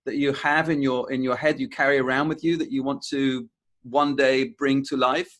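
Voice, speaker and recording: Interviewer-host, mid-pitched, halting restarts, easy conversational prompting. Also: level tone, a bit bright. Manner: stammering restarts, friendly prompting. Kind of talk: remote interview chat.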